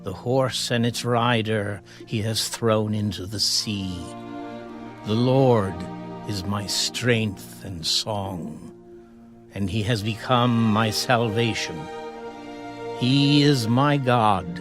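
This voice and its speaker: A man's voice: fairly low pitch, slow pace. A man playing a reverent, triumphant leader giving exultant, declaimed praise in a dramatised scripture reading. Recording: clear music behind the voice. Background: background music.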